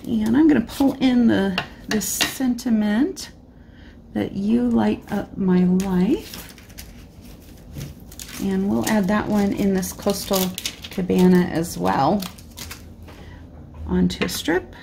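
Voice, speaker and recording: Slow, gravelly-voiced woman crafting. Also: mid-range voice, slight background noise. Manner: relaxed, chatty, thinking aloud with fillers. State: slightly losing her voice, clearing throat. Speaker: card-making tutorial host. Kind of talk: casual crafting tutorial narration. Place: indoor craft desk. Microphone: overhead camera, hands only on craft mat.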